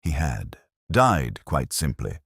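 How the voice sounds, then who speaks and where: A man playing a weary, melancholy English police detective, narrating a radio mystery in a measured, literary, introspective manner. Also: extremely low pitch, wildly swooping pitch, gravelly, fairly bright tone, slightly rough, no room sound, studio quality, full character voice. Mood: sombre, reflective. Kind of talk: slow literary first-person detective narration, radio drama.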